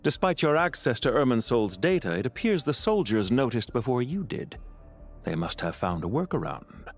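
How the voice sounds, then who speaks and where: Smooth-talking calculating banker villain for a game, dry and polite, pitch moves around a lot. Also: male voice, very animated, soft background music. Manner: suave, sardonic, measured politeness. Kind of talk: video game character acting.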